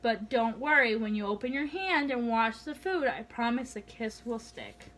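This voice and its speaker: Storybook raccoon mom, female reader: gentle, comforting, fondly teasing, fairly high register. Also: animated, moderate pace, a little hiss or hum. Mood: gently teasing. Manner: gentle, soothing. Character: gentle, reassuring mother raccoon, tender and teasing.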